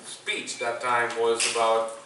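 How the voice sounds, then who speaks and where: A man's voice: mid-range voice, monotone, takes it slowly, sharp tone. A man from El Salvador in his forties, speaking English with a Salvadoran Spanish accent as a guest speaker giving a self-deprecating, conversational talk to students in a university classroom. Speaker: guest speaker, sports broadcaster. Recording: distant mic, echoey classroom, faint noise.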